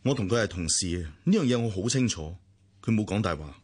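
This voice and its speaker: Cantonese radio drama sensible big brother, low voice, hong kong accent, lectures but keeps his distance. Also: lively intonation, brisk, husky. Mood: matter-of-fact, detached. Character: protective but detached elder brother, sensible teacher.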